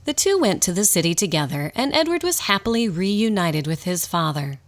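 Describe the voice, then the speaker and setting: A woman acting as the narrator of a radio drama, telling a scripted story in a slightly formal, expressive storybook style. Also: lowish voice, normal speed. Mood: storytelling. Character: storybook narrator, wry and unhurried.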